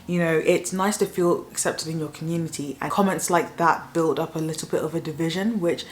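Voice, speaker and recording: A young woman with a Southern English accent talks straight to camera in an opinion vlog. Her voice sits low for a woman, and she gives her views frankly and plainly, in an easy, conversational way. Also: steady tone, a little fast, slight background noise. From south of England. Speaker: YouTuber.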